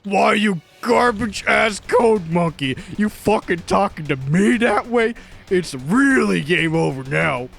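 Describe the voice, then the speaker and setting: A man's voice: fairly high pitch, very animated, measured pace, vocal fry, a bit bright, faint music behind, pitched below the performer's own voice, full character voice. A man voicing a menacing, foul-mouthed male thug producer in a game dub, snarling threats. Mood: enraged.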